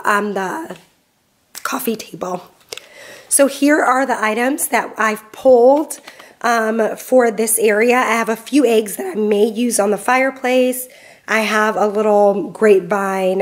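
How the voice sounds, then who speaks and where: A cheerful woman in her thirties, from Southern California, hosts a casual home vlog from her living room. She chats in a slightly high voice with easy "um"s. Her voice is still a little off from a recent cold. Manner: upbeat and chatty, with frequent "um" fillers. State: voice slightly off while getting over a cold. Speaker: home vlogger, mom. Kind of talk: casual cleaning and decorating vlog.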